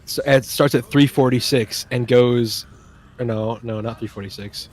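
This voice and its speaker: A man's voice: mid-range voice, fairly even intonation, moderate pace, darkish tone. A man chatting casually on a stream, rambling enthusiastically and often trailing off and restarting.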